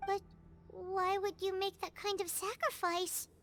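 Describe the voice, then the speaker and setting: Squeaky, childlike fairy companion. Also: female voice, level tone, measured pace, fairly bright tone, soft background music, heavily acted. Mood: puzzled concern. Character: small floating fairy companion, childlike, curious and eager. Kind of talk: video game voice acting.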